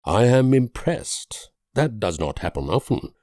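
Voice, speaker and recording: An actor playing a grave, idealistic and world-weary political leader in a strategy game, speaking in measured, solemn oratory with dramatic pauses. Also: male voice, mid-range voice, big pitch swings, warm tone, dry recording, clean studio sound. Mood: cool, demanding.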